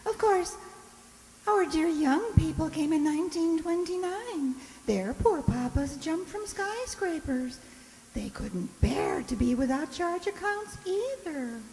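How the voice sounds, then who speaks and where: A grand, snobbish old matriarch in a radio play, high-voiced and swooping widely from note to note. She draws her words out slowly and haughtily, in a long hall reverb. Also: lively intonation, a little mellow, slight background noise, heavily acted. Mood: gracious, condescending. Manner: haughty, drawn-out, theatrical delivery. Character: imperious, snobbish, genteel old lady, matriarch of eighty. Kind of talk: radio drama acting.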